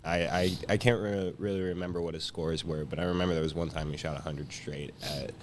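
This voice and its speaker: A man's voice: rumbling low, fairly even intonation, fairly quick. A teenage young man, a guest, chatting casually in conversation. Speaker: guest, high school senior. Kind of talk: casual chat. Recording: faint background room noise.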